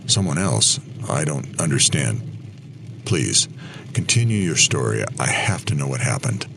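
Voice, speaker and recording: Man playing urgent pleading listener, audio drama, anxious, wildly swinging pitch, faint vehicle hum. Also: sing-song, measured pace, gravelly, a bit bright, exaggerated voice. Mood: anxious, insistent. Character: anxious, insistent listener begging for the story.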